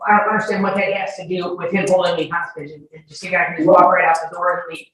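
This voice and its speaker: A woman's voice: lowish voice, steady tone, a bit bright. Defensive woman testifying in an echoey courtroom. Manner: defensive, argumentative, interrupting, halting. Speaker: witness testifying. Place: courtroom hearing. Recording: echoey courtroom, distant, noisy microphone.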